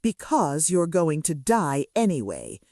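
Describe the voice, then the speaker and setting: A woman's voice: low-pitched, big pitch swings, measured pace, darkish tone, dry recording, studio quality, full character voice. A woman voicing a clinical, sardonic male kidnapper who speaks with casual menace in a romance audiobook. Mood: callous, sardonic. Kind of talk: romance audiobook character dialogue.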